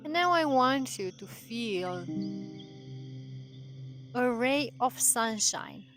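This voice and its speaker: A woman guides a meditation at a very unhurried pace. Her delivery is gentle and soothing, in short drawn-out phrases separated by long pauses. Music plays clearly behind her voice. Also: fairly high pitch, animated. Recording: voice over prominent background music.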